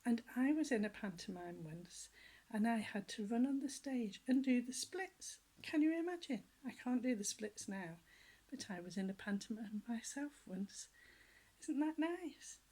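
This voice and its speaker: An older woman with a soft, breathy voice reads a children's story aloud. She tells it gently and lively, sliding into hushed whispers for the exciting parts and asking her small listeners questions as she goes. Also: a little high, a little slow, rough recording. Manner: talks directly to young listeners with questions. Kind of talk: children's storybook reading with personal asides.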